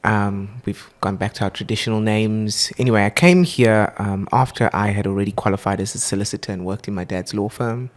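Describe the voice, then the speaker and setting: A middle-aged man with a South African accent, talking as a podcast guest in an earnest, heartfelt way, using warm spiritual phrases. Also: lowish voice, brisk, few pauses. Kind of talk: warm, earnest conversational storytelling.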